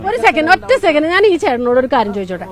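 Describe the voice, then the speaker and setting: A woman's voice: high-pitched, big pitch swings, racing, crowd noise. A woman, a chatty and inquisitive TV news reporter doing casual street interviews outdoors. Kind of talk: casual on-location vox-pop interviewing and commentary. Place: outdoors under a shade tree at a public ground.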